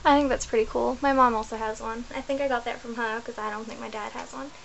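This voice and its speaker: A woman's voice: fairly high pitch, steady tone, fast, creaky, fairly bright tone, a little hiss or hum, rough recording. A young woman from New Zealand, chatting to camera in a rambling, self-correcting way from her bedroom, recorded on a laptop webcam. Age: young adult. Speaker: vlogger. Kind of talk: casual chat to camera. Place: small bedroom indoors.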